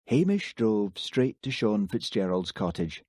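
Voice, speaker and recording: A man reading an audiobook with steady, even narration. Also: lowish voice, a little slow, no echo, clean studio sound. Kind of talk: scripted narration.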